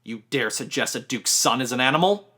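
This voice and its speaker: A man's voice: medium pitch, normal speed, fairly bright tone, natural voice. A man voicing a proud, frightened teenage boy, a duke's son, speaking tensely and defiantly.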